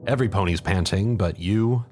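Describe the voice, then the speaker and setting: A man's voice: low-pitched, fairly quick, darkish tone. A man narrating a fan fiction story in a dramatic second-person storytelling style. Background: background music.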